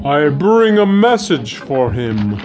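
This is an earnest, humble noble lord from a children's storybook. His lines are slow and drawn out, with a lively rise and fall in pitch. Soft music plays behind him. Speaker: audiobook narrator. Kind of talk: storybook audiobook acting.

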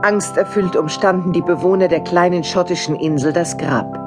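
A woman narrating a radio play in a measured, even storytelling voice. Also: fairly low pitch, over music. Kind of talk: scripted narration.

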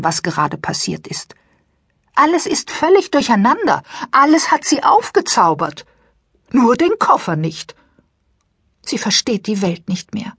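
A woman narrating a children's story expressively and with suspense, in a breathy, at times whispery voice. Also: on the high side, no room sound, studio quality. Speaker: audiobook narrator. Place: dry studio.

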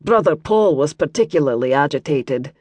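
Brief: fussy Scottish monk, prim and reproving, pitched low, as a woman narrator puts him on, storybook read. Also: lively intonation, moderate pace, a little mellow, no echo. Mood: earnest. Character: pious Scottish male monk, fussy and flustered.

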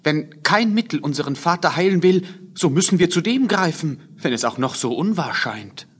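A young fairy-tale prince speaks in a fairly high voice. He is the eldest son of a radio-play king, earnest and set on his duty. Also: expressive, normal speed, breathy edge. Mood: earnest, determined.

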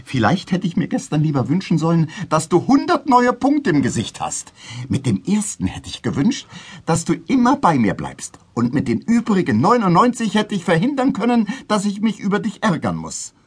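Husky, flustered, exasperated father. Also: sits high, huge pitch swings, normal speed, exaggerated voice. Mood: annoyed, sulky. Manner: fussy, scolding, easily flustered. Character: shy, exasperated middle-aged man, a put-upon father. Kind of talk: children's radio play acting.

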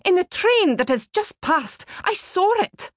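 Woman acting an agitated, shrill older British lady. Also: very animated, unhurried, dry recording, clean studio sound, heavily acted. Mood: alarmed, insistent. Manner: urgent, shrill, emphatic. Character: agitated, insistent older lady train passenger. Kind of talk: audiobook character acting.

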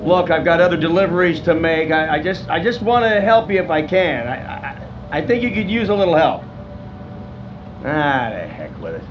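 An actor playing a friendly, bumbling neighborhood grocer in a radio drama, earnest and concerned, chatty but hesitant and stammering. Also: male voice, on the high side, very animated, normal speed, dull audio, background noise. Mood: exasperated.

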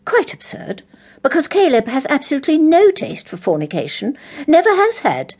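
An actress playing a forthright, eccentric English vicar's wife in an audio drama, emphatic, blunt and matter-of-fact. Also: female voice, sits high, huge pitch swings, moderate pace, darkish tone. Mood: brisk, disapproving.

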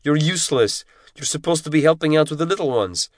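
A man's voice: on the high side, lively intonation, fast talker, no room sound, studio quality, voice pushed up, natural voice. A man putting on a giant bullying Russian instructor. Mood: contemptuous. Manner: yelling, abusive, spitting. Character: giant brutal Russian male parachute instructor.

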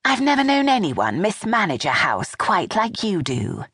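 A woman voicing a sharp-tongued elderly woman who talks to her daughter-in-law with cold, cruel scorn. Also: medium pitch, very animated, moderate pace, slightly rough, no room sound, clean studio sound, pitched above the performer's own voice. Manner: snapping, cruel and dismissive.

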